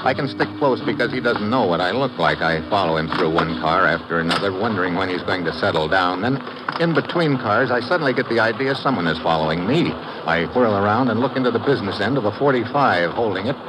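An actor playing a tough, wry, wisecracking adventurer who narrates an old radio drama in clipped phrases. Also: male voice, lowish voice, expressive, quick pace, background noise, natural voice. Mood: clipped, suspenseful. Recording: vintage radio with loud music behind.

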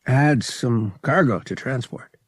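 A man playing a cocky young male space pilot in an audiobook, earnest and tender underneath, with a soft, slightly gruff delivery. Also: medium pitch, slow, no room sound, studio quality. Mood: grim, casual.

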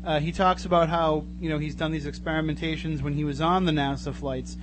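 Male radio host, slightly high voice, chatty and jokey, noisy live broadcast with hum. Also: fairly even intonation, fairly quick. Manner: joking, bantering. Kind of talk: casual live radio talk. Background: clear noise and hum.

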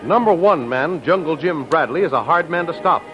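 High-pitched vintage radio announcer, male. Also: lively intonation, normal speed. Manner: dramatic promotional delivery. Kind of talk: 1930s radio announcing, scripted narration. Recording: muffled old radio broadcast. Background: faint music.

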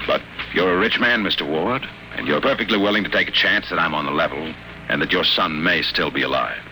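An actor playing a wry, hard-boiled private investigator, deadpan and wisecracking, in the noir style of old-time radio drama. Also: male voice, deep voice, a little fast, slight background noise, full character voice, low-quality recording. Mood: cool, confident. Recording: old radio recording with loud music.

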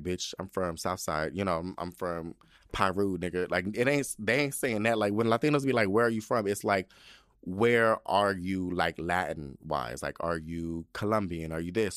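A young man hosting a podcast, talking in a sassy, profane, animated monologue. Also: lowish voice, no echo, studio quality. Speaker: podcast host. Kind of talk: casual monologue.

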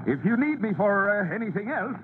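Gruff, high-pitched salty tugboat captain on old radio. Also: male voice, very animated, fairly quick. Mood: stubborn, confident. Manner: salty seaman's talk, barking orders. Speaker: radio drama actor. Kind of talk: old-time radio acting. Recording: noisy, tinny old radio broadcast.

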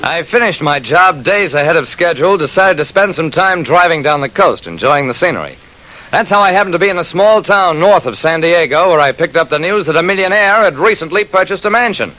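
Private eye narrator, terse, wry, very varied intonation, classic radio drama, vintage recording. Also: male voice, fairly high pitch, lively intonation, a little fast, pushed and bright, poor audio. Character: wry, plain-spoken male private detective narrator.

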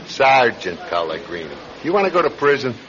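An actor in an old radio crime drama playing a skeptical, hard-boiled police sergeant who talks in a brusque, sarcastic, interrogating way. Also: male voice, mid-range voice, big pitch swings, brisk, a crowd in the background, natural voice. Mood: stern, probing. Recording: dull old radio recording.

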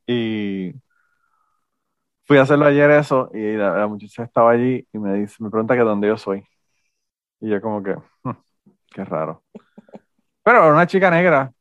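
A man's voice: fairly low pitch, expressive, moderate pace, pauses often, a bit bright, no echo, muffled recording. A man with a Puerto Rican accent chatting casually, joking and swearing loosely as he tells stories. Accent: Puerto Rican Spanish. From Puerto Rico. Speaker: former university instructor. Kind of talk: casual chat.

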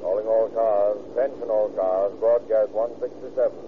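A man's voice: fairly low pitch, fairly even intonation, normal speed. A man acting as a police dispatcher, giving a clipped official announcement over 1930s police radio. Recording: tinny, noisy 1930s police radio broadcast.

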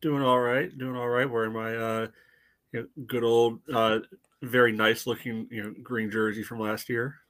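A man's voice: mid-range voice, flat delivery, normal speed, a bit bright. A man chatting casually as a sports fan on a podcast, wry and blunt, often saying "you know".

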